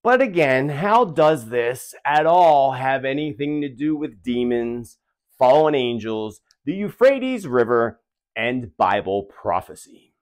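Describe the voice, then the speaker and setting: A man's voice: medium pitch, big pitch swings, slow. A man hosting his own channel, delivering dramatic prophecy commentary in an emphatic, theatrical way full of rhetorical questions. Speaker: channel host, self-described spiritual medium.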